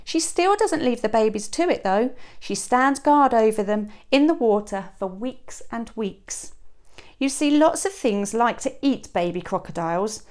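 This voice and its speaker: A woman in her thirties reading a children's picture book aloud like a teacher, with expressive emphasis and dramatic pauses. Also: on the high side. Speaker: teacher reading to a young class. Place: small classroom, indoors. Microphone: clip-on lapel mic.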